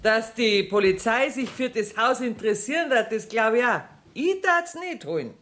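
A woman's voice: on the high side, lively intonation, normal speed, a bit bright. An actress playing a brash, scheming woman in a radio play, speaking brusquely in Bavarian dialect. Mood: defiant. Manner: brusque, bossy. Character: brash, scheming, cash-strapped woman, bossy and defiant.

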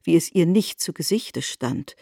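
A woman narrating a literary radio drama as a knowing storyteller, in a measured, articulate manner. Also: on the low side, animated, creaky, dark tone, slightly rough, no room sound, clean studio sound, exaggerated voice. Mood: grave.

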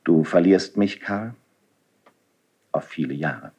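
An actor playing a noble, self-sacrificing friend of a prince in a classical verse radio drama, declamatory and emotional, moving between hushed and fervent delivery. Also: male voice, sits low, expressive, takes it slowly, slightly rough, highs cut off, full character voice. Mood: tender, solemn.

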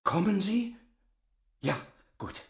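A man's voice: high-pitched, big pitch swings, slow, vocal fry, roomy sound, heavily acted. An actor playing an agitated, middle-aged French schoolteacher and stern, money-minded father in a radio play. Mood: upset, bewildered.

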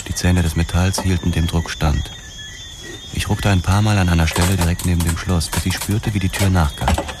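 An actor playing a police detective who narrates a grim crime radio drama in a sombre, deliberate, terse way. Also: male voice, low-pitched, steady tone, very noisy, close to an everyday voice. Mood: grim, tense. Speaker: first-person narrator.

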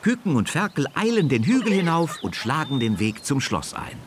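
A man's voice: on the high side, very animated, normal speed, noisy background. A man narrating a scripted children's story as the narrator of a radio play.

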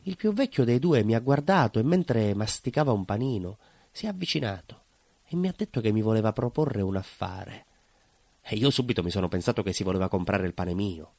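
A man's voice: lowish voice, expressive, fairly quick, creaky, a little mellow, no room sound. A man playing a nostalgic, naive, proud neighbourhood baker in a theatrical monologue, with a southern Italian accent and a colloquial, rambling, emphatic way of telling. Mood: naive storytelling. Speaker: stage actor.

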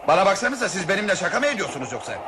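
Radio drama: wary, sardonic waiter, easily indignant, rapid animated delivery, slightly high male voice, noisy recording. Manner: questioning, sardonic.

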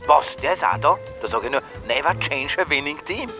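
A man's voice: on the high side, lively intonation, brisk, music in the background, close to an everyday voice. Cheeky, sardonic Austrian man played by an actor. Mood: egging on. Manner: sardonic, slangy. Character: cheeky, morbidly curious slacker friend, café bar type. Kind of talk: radio drama acting.

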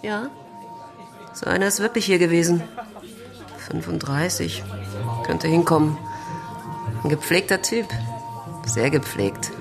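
Weary streetwalker in a radio play: female, very low, gravelly, blunt and teasing, over prominent music. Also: measured pace, pauses often. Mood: guarded. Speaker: actor. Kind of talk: naturalistic German radio drama acting. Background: music behind the voice.

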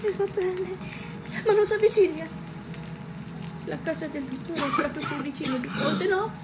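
An officious clinic assistant, curt, fussy and anxious. The voice is very high, with loud music behind it in a radio play. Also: female voice, animated, normal speed. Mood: alarmed. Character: officious, nervous clinic assistant, sharp and fearful. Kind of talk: Italian radio drama acting.

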